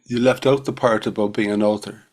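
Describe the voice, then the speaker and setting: A man's voice: lowish voice, fairly even intonation, brisk, darkish tone. Easygoing middle-aged male podcast host. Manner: jokey and informal, tells anecdotes. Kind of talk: conversational podcast interview.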